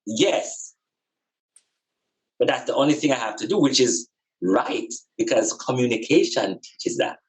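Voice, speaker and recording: A man's voice: mid-range voice, unhurried, long pauses, rough recording. A man with a Jamaican accent giving a reflective spiritual talk in an emphatic, preaching manner, with repeated phrases and rhetorical questions.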